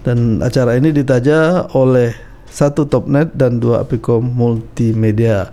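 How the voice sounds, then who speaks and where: Gravelly radio host. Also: male voice, mid-range voice, fairly even intonation, a little slow, dark tone. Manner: chatty, loose with frequent fillers and laughs. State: slightly congested with a cold. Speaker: radio talk show host. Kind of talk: casual radio interview. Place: radio studio.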